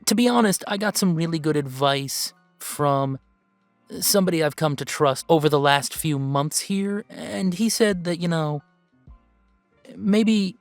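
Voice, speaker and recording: A man playing an upbeat, earnest video game streamer who chats casually and haltingly in a livestream roleplay. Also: a little high, dry recording. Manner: halting, rambling, sighing, earnest.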